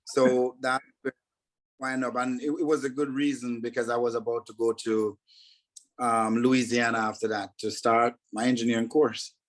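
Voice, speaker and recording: A man from Jamaica, a guest in an interview, telling his story in an earnest, measured way with frequent fillers. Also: medium pitch, flat delivery, low-quality recording.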